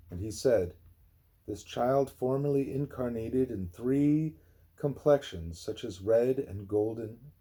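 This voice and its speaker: A man reading a spiritual book aloud in a measured, explanatory way, with a husky voice. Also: on the low side, mellow. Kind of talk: read-aloud scripted narration.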